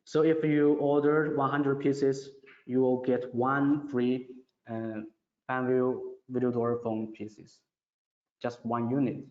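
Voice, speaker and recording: Man, non-native English, webinar presenter, halting question-and-answer delivery, simple phrasing, muffled sound, strong room echo. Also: medium pitch, flat delivery. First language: non-native English speaker. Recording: muffled audio in an echoey, reverberant room.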